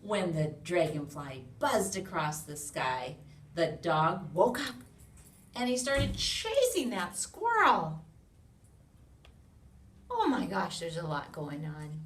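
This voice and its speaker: An American woman reads a picture book aloud to young children in an expressive way, with sound effects, questions and hushed asides to her listeners. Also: fairly low pitch, slow pace, pauses often. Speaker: children's read-along reader. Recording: roomy, distant mic with noise.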